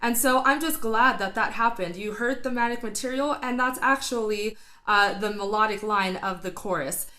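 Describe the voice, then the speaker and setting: A woman with a slightly high voice talks through a song she has just heard, analyzing vowels and technique like a vocal coach. She is enthusiastic and a little rambling, full of fillers. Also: moderate pace, few pauses, pushed and bright. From not Filipino; studied singing. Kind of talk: casual reaction commentary.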